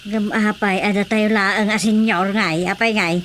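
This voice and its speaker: A woman's voice: mid-range voice, fairly even intonation, unhurried, fairly bright tone, a little hiss or hum, natural voice. An actress with an Ilocano accent playing a curious, skeptical elderly village woman in a radio drama comedy. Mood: pondering.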